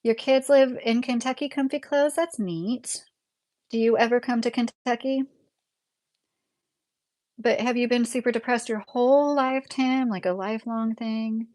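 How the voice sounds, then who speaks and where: A woman's voice: fairly high pitch, fairly even intonation, unhurried, darkish tone, dry recording. A woman in her late thirties with a Midwestern American accent, hosting a casual livestream and answering viewer comments in a rambling, conversational way. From Southern Indiana, USA. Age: late 30s. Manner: rambling, conversational, breaks into chuckles. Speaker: YouTube livestream host.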